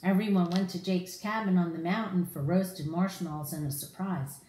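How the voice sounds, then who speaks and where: A middle-aged woman reading a picture book aloud to children in an expressive, direct way. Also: fairly low pitch, normal speed, echoey room. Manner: animated, addressing children directly. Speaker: storyteller for children. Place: room in a home, indoors.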